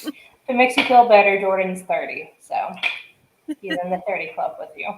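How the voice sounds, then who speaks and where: A woman's voice: medium pitch, expressive, normal speed, roomy sound, slight background noise, low-quality recording. A tired woman in her late twenties chatting wryly and self-mockingly on a video call. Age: 20s. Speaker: guest. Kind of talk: casual group chat.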